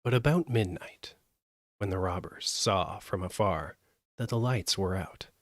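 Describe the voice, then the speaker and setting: A man narrating a fairytale as a live audiobook, with an expressive, measured delivery. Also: sits low, dry recording, studio quality. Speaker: storyteller.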